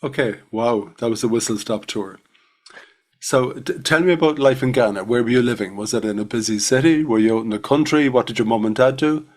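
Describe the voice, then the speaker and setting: A middle-aged man hosting a podcast at an ordinary pitch. He is informal and jokey, spins small anecdotes and asks questions in a loose, conversational way. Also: brisk, darkish tone. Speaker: podcast host.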